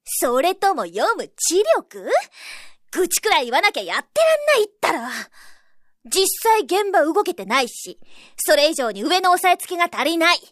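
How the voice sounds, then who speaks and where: A woman playing an outspoken, sharp-tongued young female official in a radio drama, speaking bluntly and forcefully. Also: extremely high pitch, sing-song, moderate pace, heavily acted. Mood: exasperated. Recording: roomy echo with faint music.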